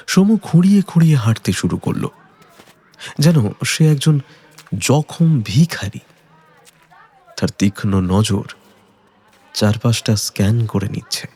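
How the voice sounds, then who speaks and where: Deep, gravelly man narrating a thriller. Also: warm tone. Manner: suspenseful, measured. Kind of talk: dramatic scripted story narration.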